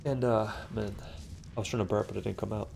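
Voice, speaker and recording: A man's voice: fairly low pitch, fairly even intonation, brisk, creaky, darkish tone, slight background noise. A young man with a stuffy, congested nose, casually commentating a solo game session, rambling and swearing with lots of fillers and restarts. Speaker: gaming commentator. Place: home room.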